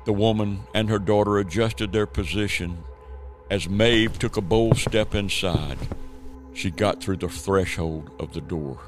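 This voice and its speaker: A man's voice: fairly low pitch, measured pace, faint music behind. A man from the southern United States narrating a scripted radio play as a storyteller. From Savannah, Georgia, United States.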